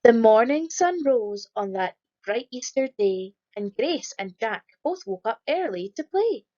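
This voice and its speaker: A woman reading a rhyming children's picture book aloud in a lively, sing-song storytelling manner. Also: a little high, a little slow, highs cut off. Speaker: storybook reader.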